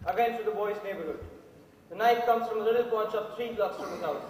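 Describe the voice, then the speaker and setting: A young man acts a calm, doubting juror in a stage drama. His very high voice comes from far off in an echoing hall with faint noise. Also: fast talker, close to an everyday voice. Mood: calm, firm. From India. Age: young adult. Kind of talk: theatrical stage dialogue. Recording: echoey noisy hall, distant microphone.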